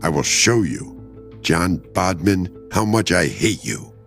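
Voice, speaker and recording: A man's voice: deep voice, very animated, slow pace, a bit bright, soft background music, heavily acted. A man voicing a bitter, vengeful wife driven mad by hatred in a dramatic story reading, hard and quavering. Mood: mad, hateful.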